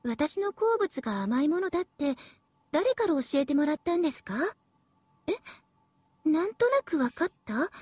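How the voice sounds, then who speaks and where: A young female AI devil girl for an anime game. The voice is very high, sweet and girlish, with a dry studio sound. She is smug and playful, talking down to people with mock politeness. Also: sing-song, normal speed, darkish tone, no room sound. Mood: bashful, haughty. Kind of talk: Japanese anime game voice acting.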